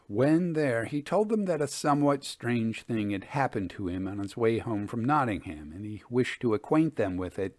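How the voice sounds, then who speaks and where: Male narrator for classic ghost tales: measured, formal delivery, mid-range pitch, very little room sound. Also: a little mellow, no echo, clean studio sound. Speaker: narrator reading ghost stories. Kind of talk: scripted storytelling narration.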